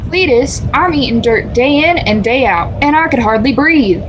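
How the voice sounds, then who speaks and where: High-voiced folksy farm wife. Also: female voice, lively intonation, moderate pace, noisy background. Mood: weary, complaining. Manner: folksy rural speech. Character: folksy Dust Bowl farm wife, flirty and plain-spoken. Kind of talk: 1930s radio commercial acting.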